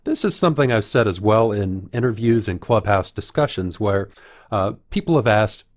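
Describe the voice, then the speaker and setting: Guy with a lowish voice doing analysis commentary, measured, explains stuff as he goes. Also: lively intonation. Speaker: video commentator. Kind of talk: analytical commentary, reading out quotes.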